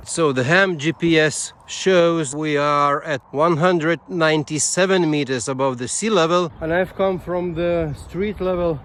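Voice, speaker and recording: A man giving a casual outdoor gear demonstration as a video host, halting as he thinks aloud. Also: a little high, slight background noise. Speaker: amateur radio video host. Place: outdoors on a bushy hilltop.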